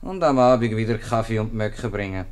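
A man's voice: lowish voice, fairly quick, a little mellow, clean tone, natural voice. An actor with a Swiss German accent playing a bored, grumbling tower handyman in a 1950s radio comedy.

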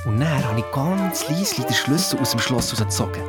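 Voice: mid-range voice, expressive, moderate pace, close to an everyday voice. Young Swiss German boy detective played by an actor, eager and colloquial storyteller for a children's radio play, music underneath. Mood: excited, secretive. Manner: colloquial, eager, defensive when challenged. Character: excitable young boy playing amateur detective. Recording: music behind the voice.

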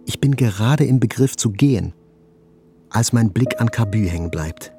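An actor playing a journalist who relives a fateful morning, narrating a literary audio drama plainly and with restraint. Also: male voice, fairly low pitch, lively intonation, moderate pace. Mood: restrained.

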